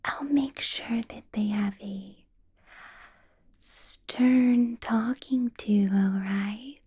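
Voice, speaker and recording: A woman playing a possessive, menacingly sweet vampire boss in a whispered ASMR roleplay, coaxing and polite with drawn-out words. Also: on the high side, dark tone, heavily acted. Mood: protective, soothing. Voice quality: breathy whisper.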